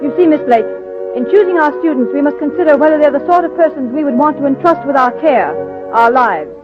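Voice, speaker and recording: An actress playing a strict, efficient nursing-school instructor with crisp, formal declamation in 1940s radio drama style. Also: female voice, high voice, lively intonation, fairly quick. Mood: stern, instructive. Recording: thin phone-like old radio recording, music.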